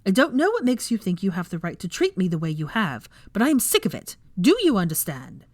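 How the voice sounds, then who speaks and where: A woman's voice: medium pitch, big pitch swings, fairly quick, dry recording. A woman voicing a furious young female professor, scolding a class sharply and indignantly in a storybook reading. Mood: furious, fed up. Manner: sharp, indignant, scathing lecturing. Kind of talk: dramatic fan fiction character reading.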